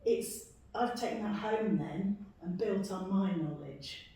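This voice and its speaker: A woman's voice: mid-range voice, measured pace, warm tone. Woman in a very echoey room, far off. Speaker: community arts group member. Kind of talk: group reflection discussion. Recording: very echoey room, distant mic, faint noise.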